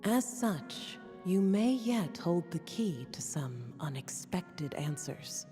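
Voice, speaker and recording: A woman playing a detached, mature master alchemist in a video game, measured and formal, in a long reverb. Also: on the low side, big pitch swings, warm tone. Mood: coolly curious. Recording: long hall reverb, faint game music.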